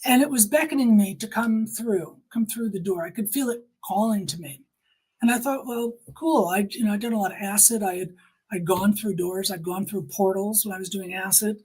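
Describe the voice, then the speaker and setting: A woman's voice: mid-range voice, normal speed, darkish tone, breathy edge, rough recording. A woman giving personal testimony in an interview, speaking emphatically and repeating phrases to drive points home.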